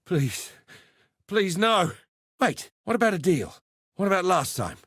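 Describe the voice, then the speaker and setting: A man's voice: high-pitched, big pitch swings, unhurried, fairly bright tone, dry recording, heavily acted. A roguish pit fighter from a video game, swaggering and slangy. He talks like a theatrical, cheeky schemer with a tough edge. The recording is dry and close. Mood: pleading. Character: roguish adult male arena fighter and pitmaster turned syndicate member. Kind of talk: video game character voice lines.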